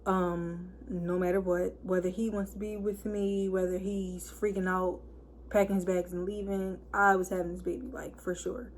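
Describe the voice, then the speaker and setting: A hoarse woman of twenty-one, chatting casually to camera and packing her talk with fillers. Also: fairly low pitch, flat delivery, moderate pace, slight background noise. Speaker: vlogger. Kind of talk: casual story time chat.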